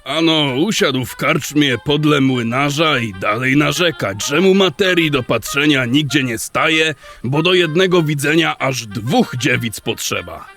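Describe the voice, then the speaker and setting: Old male dwarf, acted by a man, unhurried, gravelly, rustic archaic speech, wary grumbler, fantasy audio drama. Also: on the high side, animated, bright, forceful tone, soft background music, full character voice. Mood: gossipy. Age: older.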